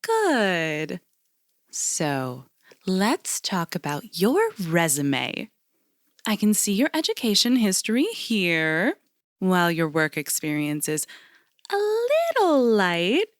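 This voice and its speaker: A polished woman interviewer with a slow delivery and a very animated, swooping pitch. She is overly pleasant and faintly condescending, in the style of an audio drama. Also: no room sound, studio quality, full character voice. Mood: cordial, businesslike. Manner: polished, measured, patronizing.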